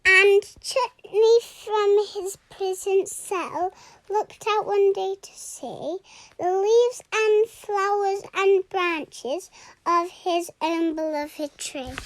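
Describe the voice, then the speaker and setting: A young girl reading a rhyming children's storybook aloud in a deliberate, expressive way. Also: extremely high pitch, no room sound, rough recording. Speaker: story reader.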